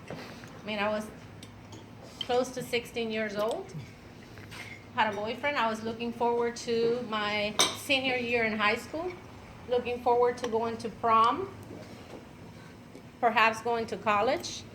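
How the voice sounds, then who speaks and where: A woman, telling her personal story at an event in an unhurried way with fillers and light laughs. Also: on the high side, fairly even intonation, fairly bright tone, slight background noise, poor audio. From Venezuela. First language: Spanish. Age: 50s. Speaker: speaker at an event. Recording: clattering dishes and cutlery behind.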